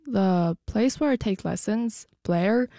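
A woman's voice: mid-range voice, slow pace, dark tone, no room sound, clean studio sound. A sixteen-year-old girl from the United States chatting casually as an interview guest, youthful and informal. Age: 16, teenager. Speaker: guest, student classical pianist.

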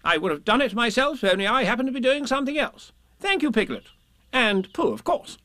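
A man's voice: very high voice, lively intonation, a little fast, bright, forceful tone, no room sound, studio quality, pitched above the performer's own voice, heavily acted. A man voicing a bossy, self-assured, scheming rabbit from a children's storybook, speaking in an emphatic, officious way. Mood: confident, persuasive. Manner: emphatic, officious, wordy explaining. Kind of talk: children's storybook character reading.

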